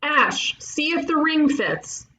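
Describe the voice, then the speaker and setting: A woman playing a brash, bossy ghost detective in an animated comedy table read, teasing and cocky. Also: sits high, slow, full character voice. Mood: bossy, accusing. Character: brash, bossy young female ghost detective who loves puns.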